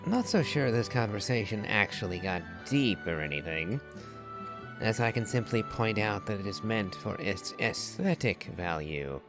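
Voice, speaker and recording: A man doing casual playthrough commentary and reading along, in a musing, unhurried way. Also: fairly low pitch, animated, music in the background.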